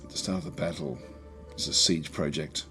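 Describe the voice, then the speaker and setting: Croaky low-voiced man commentating a strategy game. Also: fairly even intonation, a little fast, bright, forceful tone, soft background music. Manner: thinking aloud, many fillers and restarts. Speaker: gameplay commentator.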